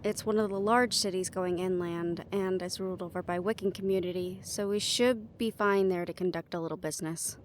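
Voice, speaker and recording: A woman's voice: fairly low pitch, steady tone, fairly quick, hardly any pauses, slight background noise, natural voice. A woman playing a guarded, weary young team leader in a fantasy audio roleplay, with a dry, casual delivery. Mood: businesslike.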